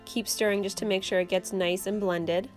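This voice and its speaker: A young woman hosting her own channel, explaining a homemade recipe step by step in a relaxed, casual way from her kitchen. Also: medium pitch, normal speed, soft background music. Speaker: channel host. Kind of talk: casual how-to vlog. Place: home kitchen.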